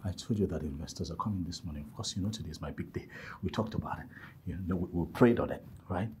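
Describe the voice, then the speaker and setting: Guy doing a frazzled businessman, really low voice, stammery, hushed and pleading. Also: animated, quick pace, a little hiss or hum. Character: flustered businessman dodging his wife's call.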